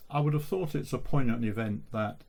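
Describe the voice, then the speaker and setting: A man speaking as an interview guest in a measured, thoughtful way. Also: medium pitch, gravelly, dark tone, a little hiss or hum. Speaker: interview guest, local history researcher. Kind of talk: reflective radio interview.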